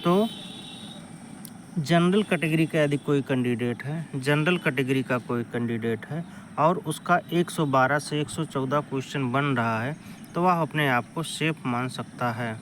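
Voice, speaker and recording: A man's voice: mid-range voice, unhurried, fairly bright tone, a little hiss or hum. A man explaining exam analysis to students in informal, repetitive, step-by-step phrasing. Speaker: exam-coaching presenter.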